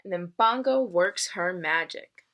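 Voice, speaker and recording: A woman voicing a wise, patient talking tree in a storybook, speaking earnestly and with gentle authority. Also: lowish voice, animated, unhurried. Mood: encouraging.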